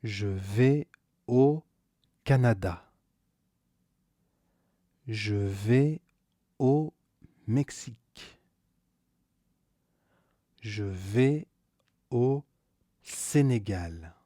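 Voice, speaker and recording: A man teaching a scripted beginner grammar lesson, slowly repeating each key word and stressing it with a rise. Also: fairly low pitch, expressive, dark tone, no echo, clean studio sound. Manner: slow, deliberate, repeats key words with stressed rises. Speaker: French teacher.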